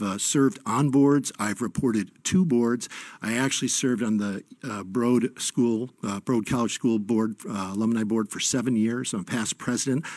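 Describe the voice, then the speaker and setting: A middle-aged man with an American Midwestern accent giving an informal, earnest campaign speech in a halting way. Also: fairly low pitch, husky, no echo. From Michigan, United States. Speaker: candidate for university trustee.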